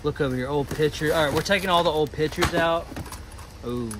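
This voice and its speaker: Excited man rummaging in a storage unit. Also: on the high side. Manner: excited, rapid commentary while digging through boxes. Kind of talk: casual vlog narration. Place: indoor storage unit with metal walls. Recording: noisy storage unit ambience.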